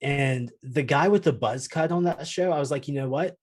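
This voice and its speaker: A man's voice: mid-range voice, normal speed, a little mellow. A man co-hosting a podcast in casual, unscripted conversation. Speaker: show co-host.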